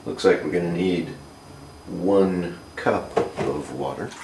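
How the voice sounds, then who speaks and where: A man in his thirties with a North American accent, giving a casual step-by-step cooking demonstration in a plain, halting way. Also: deep voice, a little mellow. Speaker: amateur cooking video host. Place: home kitchen, indoors. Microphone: camera microphone, a short distance away. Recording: roomy camcorder sound with clear noise.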